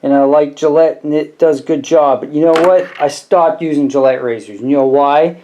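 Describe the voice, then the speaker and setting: A man's voice: fairly high pitch, normal speed, dark tone, slight background noise. A man with a Pittsburgh accent giving a rambling, folksy comic rant to camera about a razor, full of grumbling slang. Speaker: vlogger doing product review.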